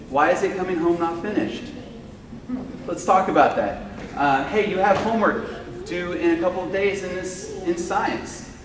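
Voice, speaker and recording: A man who teaches fifth grade addresses a room of parents in a loud, casual way, full of fillers. His voice sits a little high for a man. He is picked up from across an echoey classroom, with noise behind him. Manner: loud, informal, chatty with many fillers. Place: school classroom or hall. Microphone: far camera microphone. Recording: echoey room, far from the mic, noisy.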